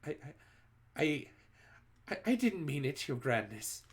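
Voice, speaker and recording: Brief: frightened female witch, stammering, pitched way up, wildly swooping, voiced by a man. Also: big pitch swings, a little slow. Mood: pleading. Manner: stammering, high and pleading. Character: terrified, wretched female witch pleading.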